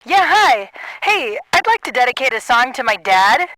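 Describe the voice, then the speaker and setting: An actress playing a petty young daughter calling a video game radio station, with faint hiss on the recording. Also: female voice, high voice, big pitch swings, normal speed, sharp tone, full character voice, rough recording. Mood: sly.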